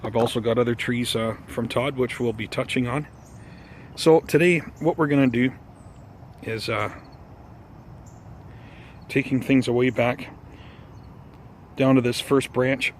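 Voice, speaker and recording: Male gardening vlogger, mid-pitched, casual and unhurried, slightly hesitant, recorded outdoors with faint ambient noise. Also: fairly even intonation. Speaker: host of a bonsai gardening vlog. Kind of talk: casual vlog chat to viewers.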